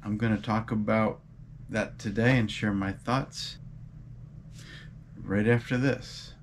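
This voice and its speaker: A man hosting his running vlog, a bit congested from a cold with a mild cough, giving earnest advice with frequent um and uh pauses. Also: fairly low pitch, steady tone, slight background noise. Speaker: running channel host. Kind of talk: casual advice vlog talk.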